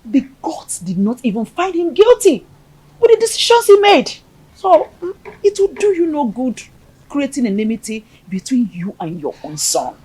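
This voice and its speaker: A woman's voice: sits high, sing-song, moderate pace, warm tone, husky, exaggerated voice. A woman acting as a fiery, outspoken village woman in a film drama. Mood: earnest. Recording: echoey room, off the mic.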